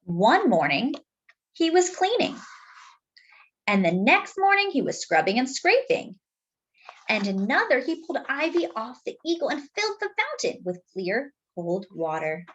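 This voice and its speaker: Slow, high-pitched, lively storytime woman. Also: breathy edge, dull audio. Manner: expressive, addresses young listeners directly, dramatic pauses. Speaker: children's storytime reader and host. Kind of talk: picture-book read-aloud for young children.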